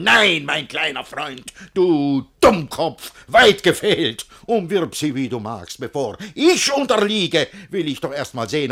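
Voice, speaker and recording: An actor playing a jealous, lovesick older master who declaims rhymed verse in a classic German radio drama. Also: male voice, a little high, fairly bright tone, full character voice. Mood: indignant, defiant. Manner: theatrical rhymed verse declamation, swinging from brooding to outraged.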